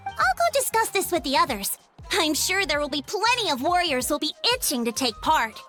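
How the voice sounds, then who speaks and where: A woman's voice: sits high, big pitch swings, normal speed, over music. A woman voicing a cheerful, eager young girl in a video game. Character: bright upbeat young girl traveler companion.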